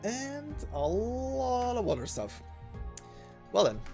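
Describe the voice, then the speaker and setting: A man's voice: very high voice, sing-song, slow, over music. A man who narrates game stories, talking casually to his viewers as he wraps up. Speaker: narrator, voicing game story characters.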